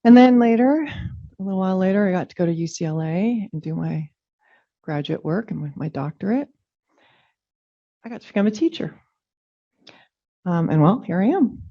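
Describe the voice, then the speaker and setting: A middle-aged woman, a Danish native speaker, giving a reflective, halting farewell speech as chair of a public meeting. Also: mid-range voice, lively intonation. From California. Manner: reflective, halting, with frequent ums. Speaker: commission chair. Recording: dull lo-fi meeting audio.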